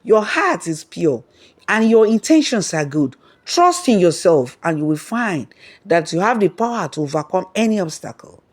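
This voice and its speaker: A storyteller plays a magical three-headed python in a folktale. It is wise and solemn, and gives its counsel slowly and with measured weight. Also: mid-range voice, big pitch swings. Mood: gentle, wise.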